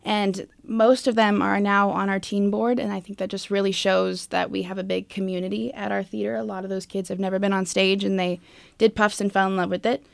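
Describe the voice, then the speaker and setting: A woman's voice: medium pitch, level tone, a little fast, gravelly, dry recording. A woman being interviewed, giving casual, plainspoken explanations as a guest. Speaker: interview guest, theater youth board leader.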